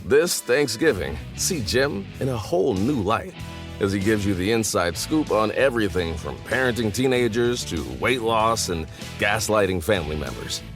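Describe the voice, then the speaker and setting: A man delivers a scripted advert voice-over. His intonation moves around a lot. Plucked guitar music plays clearly behind him. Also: on the low side, expressive, moderate pace. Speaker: advert announcer.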